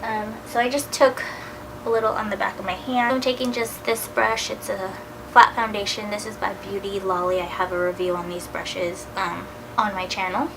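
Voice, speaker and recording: Woman, 30s, beauty vlogger with a cold: gravelly, nasal, chatty, noisy home recording. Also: medium pitch, fairly even intonation, normal speed. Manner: casual and chatty, drifting into soft near-whispers. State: has a cold, a little nasal. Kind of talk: chatty makeup tutorial.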